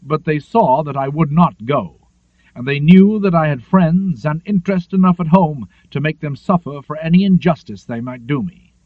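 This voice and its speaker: A man reading a literary seafaring memoir as an audiobook, in a measured, expressive storytelling manner. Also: fairly high pitch, no room sound. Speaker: audiobook narrator. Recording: narrow phone-like lo-fi sound.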